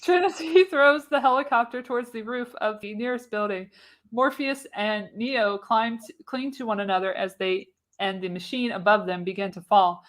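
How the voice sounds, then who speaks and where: A woman's voice: fairly high pitch, normal speed. A woman narrating screenplay directions for a live radio play, reading dramatically with the odd stumble. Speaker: narrator, show host.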